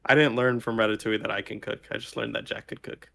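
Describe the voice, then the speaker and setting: A man's voice: mid-range voice, level tone, quick pace, creaky, pushed and bright. Podcast co-host, male, mid-range voice, slangy and bantering, off-the-cuff conversational delivery. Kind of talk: casual chat.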